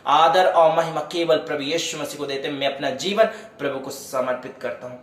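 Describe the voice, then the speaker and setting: A man's voice: on the high side, fairly even intonation, brisk, a bit bright, reverberant. A man preaching a devotional sermon, fervently urging his beloved listeners and then praying aloud for healing. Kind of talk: sermon with worship singing and prayer.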